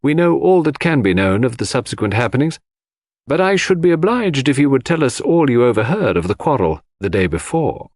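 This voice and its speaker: A man voicing a brisk, formal coroner who questions witnesses with official courtesy in an audiobook. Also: mid-range voice, sing-song, a little mellow, no echo, studio quality, pitched above the performer's own voice. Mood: probing, deliberate. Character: brisk, formal, courteous male coroner presiding over inquest.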